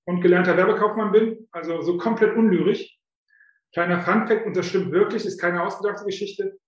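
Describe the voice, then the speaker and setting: A man in his fifties with a high-pitched voice tells his story in a chatty, self-deprecating way, often pausing on filler sounds. He is heard in a casual interview, and his voice rings out in a strongly echoing room. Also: highs cut off. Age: 50s. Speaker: guest, author coach. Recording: very echoey room.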